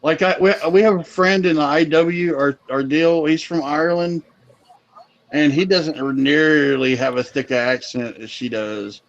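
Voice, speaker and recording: A man's voice: on the high side, fairly even intonation, unhurried. Rambling crude man, slightly dull audio. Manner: crude, rambling, stumbling restarts. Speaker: live chat co-host. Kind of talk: casual group chat.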